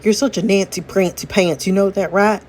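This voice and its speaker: A woman's voice: mid-range voice, fairly even intonation, moderate pace, darkish tone, slight background noise, natural voice. A woman voicing a snippy, nervous young man who scolds with silly insults in a comic story.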